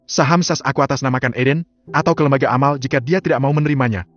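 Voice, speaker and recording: A man voicing a cold, ruthless older crime boss who talks in a flat, curt way. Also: medium pitch, racing, no room sound, pitched above the performer's own voice, close to an everyday voice. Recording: slightly muffled, soft music behind.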